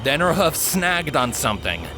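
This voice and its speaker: A man reading a story as an audiobook narrator, with dramatic, suspenseful and deliberate phrasing. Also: fairly high pitch, sing-song, loud music behind the voice.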